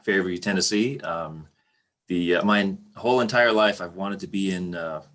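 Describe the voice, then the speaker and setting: A man from Canada who plays in rock bands, speaking haltingly with many fillers on a video call panel. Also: low voice, fairly bright tone. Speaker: rock musician guest.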